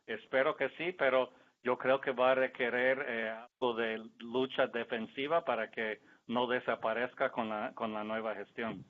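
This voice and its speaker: A man with a Mexican Spanish accent giving measured, candid remarks as a panelist in a discussion. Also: medium pitch, steady tone. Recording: tinny phone-like sound.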